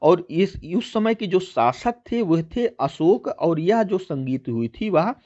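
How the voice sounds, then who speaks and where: High-pitched man teaching, lively intonation. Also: slow, lo-fi sound. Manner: instructional, addresses listeners as friends, repeats key points. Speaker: teacher hosting a history quiz channel. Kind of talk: exam-prep lesson, explaining questions and answers.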